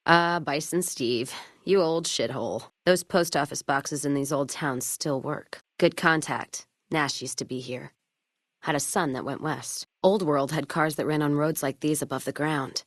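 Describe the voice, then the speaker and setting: A woman's voice: low voice, a little slow, no room sound, studio quality. A woman playing a blunt, hard-drinking, foul-mouthed wasteland companion in a video game, wry and wary, with clipped, folksy phrasing.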